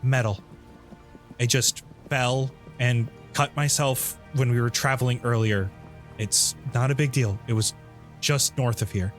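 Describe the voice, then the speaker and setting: A man's voice: mid-range voice, steady tone, unhurried, soft background music, lightly acted. A man playing a guarded, self-reliant wounded traveler in a tabletop game, curt and defensive.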